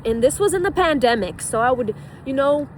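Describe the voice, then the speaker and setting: A woman's voice: high voice, lively intonation, brisk, slight background noise. A woman from Brazil with a Brazilian Portuguese accent, a former physician telling her story in a rambling, casual way full of fillers and restarts.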